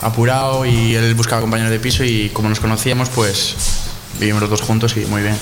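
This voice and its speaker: Flat-voiced man, reverberant room. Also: lowish voice, fast, over music. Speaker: footballer guest. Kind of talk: casual chat. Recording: reverberant room with clear background noise.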